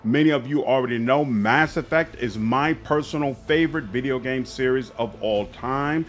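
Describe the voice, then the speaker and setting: A man's voice: medium pitch, moderate pace, a little hiss or hum. A man speaking as a gaming channel host, giving enthusiastic, opinionated commentary straight to his viewers.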